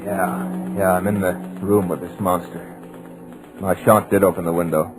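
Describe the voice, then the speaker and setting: A man's voice: low-pitched, level tone, moderate pace, natural voice, poor audio. A man playing a trapped, terrified writer. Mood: desperate. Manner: breathless, urgent inner narration. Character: trapped, hypnotized male radio writer, dazed and terrified. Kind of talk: 1940s radio drama acting. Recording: old radio recording with music behind.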